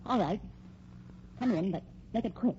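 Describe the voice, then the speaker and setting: A woman's voice: medium pitch, expressive, normal speed, mellow, close to an everyday voice. An actress playing an indignant, calculating young American woman in an old radio crime drama, haughty and protesting. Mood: wary. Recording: dull old radio recording, faint hum.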